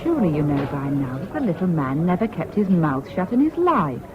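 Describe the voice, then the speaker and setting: A woman's voice: sits low, very animated, fairly quick. An actress in a radio drama playing a scornful, knowing woman who speaks out with indignant emphasis. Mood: scornful, heated. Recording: dull old radio recording, crowd murmur.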